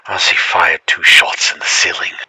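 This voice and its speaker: A man narrating a scripted first-person story in a dramatic, expressive storytelling manner. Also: sits low, normal speed, breathy edge, no echo, poor audio. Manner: expressive storytelling, acts out the lines.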